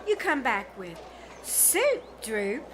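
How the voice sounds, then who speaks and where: A woman's voice: fairly high pitch, wildly swooping pitch, slow, full character voice. A woman playing an eager, bossy teenage niece in an old-time radio comedy, emphatic and coaxing. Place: small theater stage, live performance. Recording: very noisy live stage recording.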